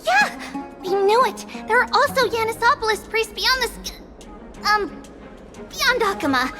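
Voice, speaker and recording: Tiny, very high-pitched little girl priest. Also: animated, measured pace. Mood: helpful, chatty. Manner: bubbly, exclamatory, speaks of herself as "we". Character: tiny cheerful childlike girl high priest, helpful and proud. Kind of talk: video game voice acting. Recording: music behind the voice.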